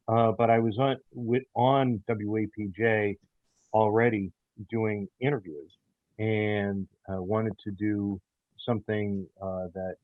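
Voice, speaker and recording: A low-pitched man speaks conversationally as an interviewed show creator, halting and doubling back on his words. The sound is thin and phone-like, with very little room around it. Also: dry recording. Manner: halting, repeats words and phrases. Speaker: audio theater show creator and writer being interviewed.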